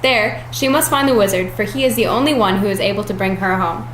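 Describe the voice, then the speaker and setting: Echoey woman reporter, medium-pitched. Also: a little fast, fairly bright tone. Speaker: news reporter. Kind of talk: scripted mock 1930s film segment. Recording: echoey room, faint noise.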